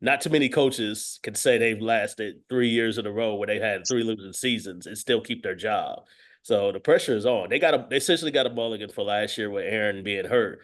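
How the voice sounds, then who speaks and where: A man's voice: lowish voice, brisk. A man talking as a guest sports analyst, giving conversational football commentary sprinkled with verbal tics like "you know".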